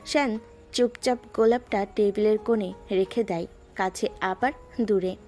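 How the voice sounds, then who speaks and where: A woman narrating a romantic audio story in a measured, lyrical way. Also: mid-range voice, steady tone, warm tone, faint music behind.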